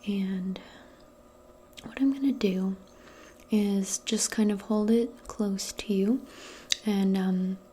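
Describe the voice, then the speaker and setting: Female, slow soft-spoken ASMR role play, breathy half-whisper, gentle casual personal attention, audible background hiss. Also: mid-range voice, steady tone. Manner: gentle, casual, hesitant chatter aimed closely at the listener. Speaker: ASMR performer role-playing as a modern witch.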